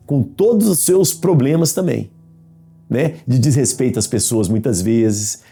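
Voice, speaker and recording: A man's voice: mid-range voice, vocal fry, dark tone. A Brazilian man giving explanatory commentary, posing questions and answering them himself.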